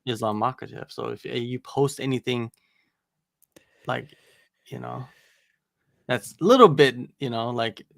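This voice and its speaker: A man's voice: medium pitch, gravelly, fairly bright tone, dry recording, studio quality. A man who interviews fighters, chatting casually on a livestream in a loose, rambling way with lots of fillers. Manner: laid-back, rambling with many fillers. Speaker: MMA interviewer and podcast co-host.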